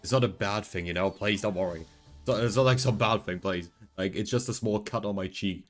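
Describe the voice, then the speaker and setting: A man in his twenties with a deep voice, streaming a game and rambling casually, reassuring his chat with lots of fillers. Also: fairly quick, soft background music. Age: 20s.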